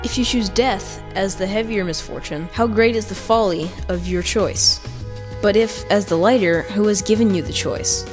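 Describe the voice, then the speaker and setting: A woman's voice: medium pitch, normal speed, natural voice. A young female amateur reader voicing characters in a scripted Stoic dialogue. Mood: calm, resolute. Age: child or teenager. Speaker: reader of quoted character lines. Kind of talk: amateur scripted dramatic reading. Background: music.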